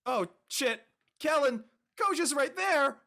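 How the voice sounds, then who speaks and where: A woman playing a cautious, easygoing high school student who talks in casual slang in a scripted drama. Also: lively intonation, takes it slowly, a bit bright, exaggerated voice. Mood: alarmed.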